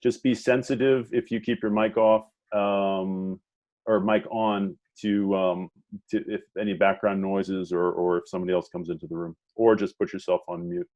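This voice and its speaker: A man moderating an online talk, speaking haltingly with many fillers and restarts. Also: low-pitched, flat delivery, a little mellow, muffled recording. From Washington, D.C. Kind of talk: hesitant conversational introduction.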